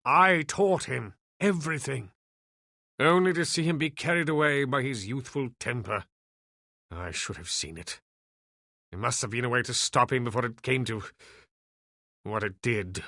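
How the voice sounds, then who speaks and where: An actor playing an ancient, reclusive elf sage in a video game, sardonic and weary, with theatrical, deliberate delivery. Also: male voice, mid-range voice, pushed and bright, dry recording, studio quality. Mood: regretful. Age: older.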